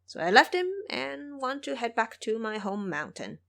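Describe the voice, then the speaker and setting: A woman's voice: a little high, very animated, moderate pace, gravelly, fairly bright tone, exaggerated voice. A woman voicing a brash, proud monkey king turned monk in an audio drama, speaking boastfully and indignantly.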